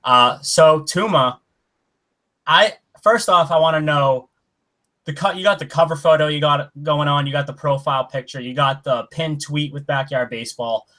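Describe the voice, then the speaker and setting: A man's voice: on the high side, moderate pace. Young man hosting a casual podcast. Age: 20s. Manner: relaxed, asks questions with fillers and restarts. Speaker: podcast host.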